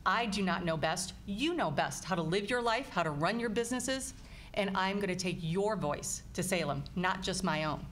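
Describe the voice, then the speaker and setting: A woman's voice: mid-range voice, animated, moderate pace, fairly bright tone, a little hiss or hum. A woman in her forties giving an earnest, upbeat candidate forum speech into a table mic in a meeting room. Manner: earnest, upbeat, emphatic lists. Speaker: political candidate. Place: meeting room with small audience.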